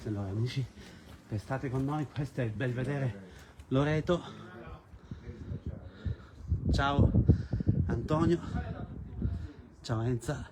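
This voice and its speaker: A man's voice: medium pitch, a little slow, long pauses. A man hosting a live travel stream outdoors, speaking directly to viewers like a presenter. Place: outdoors in a hilltop village. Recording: noisy outdoor recording with clicks.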